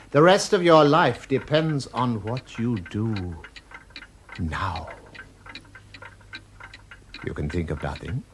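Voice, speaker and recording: An actor playing a sinister, persuasive tempter in a radio drama, taunting and relentless, with an insistent theatrical delivery full of probing questions. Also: male voice, medium pitch, a little hiss or hum, natural voice. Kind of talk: dramatic British radio play acting.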